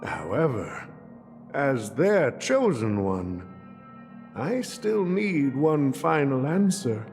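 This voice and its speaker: An actor playing a shrewd old family patriarch and merchant in a game, wary and theatrical, choosing words deliberately. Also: male voice, medium pitch, big pitch swings, a little mellow. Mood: wary, probing. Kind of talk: scripted game cutscene dialogue. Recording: dramatic music under the voice.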